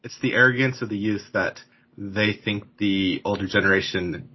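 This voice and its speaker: A man's voice: fairly low pitch, moderate pace, slightly rough. A man in his forties hosting a podcast interview, rambling casually with frequent laughs and restarts. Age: 40s. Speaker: podcast host. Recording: dull lo-fi sound.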